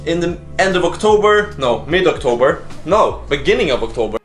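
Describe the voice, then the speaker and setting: A man's voice: on the high side, big pitch swings, a little fast. Casual man vlogging in an echoey room. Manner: informal, slangy, thinking aloud. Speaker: host of a startup coding video diary. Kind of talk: casual vlog talk. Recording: echoey room, faint music behind.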